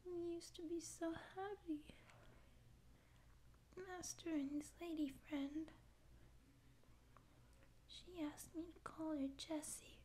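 A young woman acting a naive, pouty stray catgirl in a soft, halting ASMR roleplay voice. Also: very high voice, fairly even intonation, slight background noise. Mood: plaintive, wistful. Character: naive, tearful stray catgirl would-be space pirate. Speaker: ASMR voice actor. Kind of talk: ASMR roleplay audio drama.

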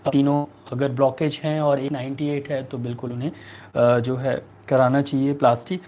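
A man in his thirties with an Indian accent, a doctor answering viewer questions in a halting, repetitive way. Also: medium pitch, level tone, mellow, a little hiss or hum. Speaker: homeopathic doctor hosting a live online clinic. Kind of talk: answering viewer questions, giving remedy advice. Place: small furnished room indoors.

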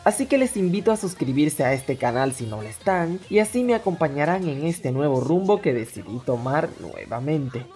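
Young woman doing a fandub creator update, soft mellow tone, faint music behind her. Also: low-pitched, big pitch swings, moderate pace, few pauses. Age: young adult. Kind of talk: scripted vlog narration to fans. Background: background music.

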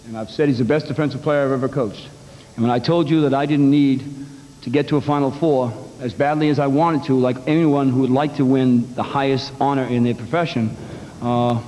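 A man's voice: mid-range voice, darkish tone. A middle-aged man coaching basketball speaks bluntly and with emphasis, walking through his plan point by point. He talks into a table microphone in a big press room, and a long reverb trails his words on a live TV feed. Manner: blunt, emphatic, explains plans step by step. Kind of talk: postgame press conference answers. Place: press conference room in a large arena.

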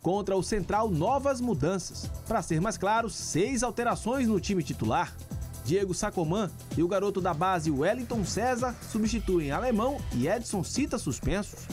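A man's voice: sits high, expressive, moderate pace, soft background music. A man with a Brazilian accent narrating a scripted sports news report.

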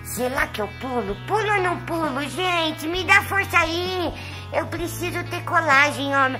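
A woman's voice: very high-pitched, expressive, unhurried, sharp tone, faint music behind, exaggerated voice. A woman with a Brazilian accent voicing a chatty, nervous, affectionate young male house cat in a pet vlog. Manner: sweet, coy, chatty to viewers.

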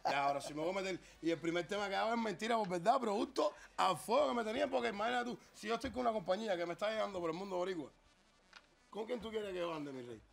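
Guy guest on studio show, highish voice, quick storyteller, keeps saying mi rey. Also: lively intonation, creaky. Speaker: guest artist. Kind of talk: casual studio talk. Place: TV studio set.

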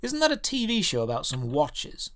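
A man's voice: on the high side, huge pitch swings, moderate pace, fairly bright tone. A man narrating a scripted video game review in a wry, exasperated way, putting rhetorical questions to the viewer.

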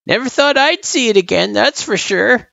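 A narrator voicing a teasing old male turtle monster with a gravelly, wheezy voice and folksy old-timer talk, in an audio story. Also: mid-range voice, animated, moderate pace, a bit bright, no room sound, lo-fi sound, voice pushed up. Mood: reflective.

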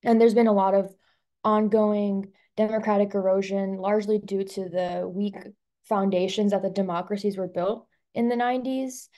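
A woman's voice: mid-range voice, steady tone, normal speed, dark tone. A young adult woman from Albania, a student giving a webinar presentation over a video call, talking casually with plenty of "um" and "like" fillers.

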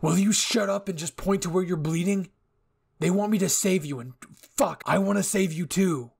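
A man voicing a gruff, hot-tempered young hero in an anime roleplay, brusque, profane and urgent. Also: high voice, moderate pace, a little mellow, voice pushed up, heavily acted. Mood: frantic, angry. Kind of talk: anime ASMR roleplay acting.

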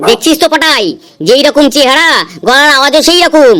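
A woman's voice: high-pitched, fairly quick, a bit bright. A woman voicing a bossy, self-important older male boss of beggars in a comedy cartoon, given to scolding. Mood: impatient, scornful.

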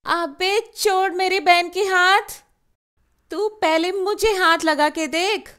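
Woman playing a feisty, very high-voiced fighting girl. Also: steady tone, takes it slowly, background noise. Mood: fierce, scolding. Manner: bold, scolding. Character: feisty fighting young girl, martial arts expert. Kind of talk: children's doll-play story acting.

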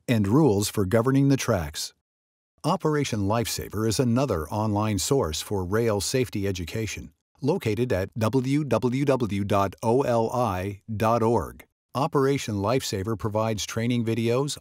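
A man reading scripted narration for a training video in a measured, instructional way. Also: fairly low pitch, a little mellow, no room sound, clean studio sound.

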